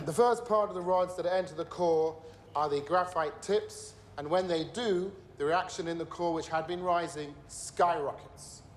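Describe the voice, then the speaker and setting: A man acting as a grave, bitter nuclear scientist testifying at a trial in a screen drama, measured and emphatic as he explains. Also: on the high side, slight background noise, lightly acted. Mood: grave, urgent.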